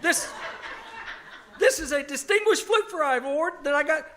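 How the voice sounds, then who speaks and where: Eager high-pitched boyish young officer. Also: male voice, animated, normal speed, sharp tone, slight background noise, heavily acted. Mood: earnest, proud. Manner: polite, wordy, earnest. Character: eager, boyish young retired Air Force colonel. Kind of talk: old-time radio comedy acting. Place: live stage performance at a winery.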